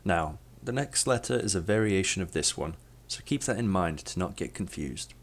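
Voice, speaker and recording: A young man with a very deep voice and a gravelly edge delivers a scripted lesson. His first language is Polish, and he explains each point in a measured, steady way, pausing to demonstrate sounds. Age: young adult. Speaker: student presenter.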